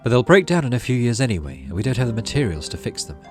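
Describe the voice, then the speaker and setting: A man voicing a brisk, no-nonsense colonist mother in a game, instructing her child matter-of-factly. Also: mid-range voice, very animated, a little mellow, soft background music, natural voice. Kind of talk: reading visual novel game dialogue.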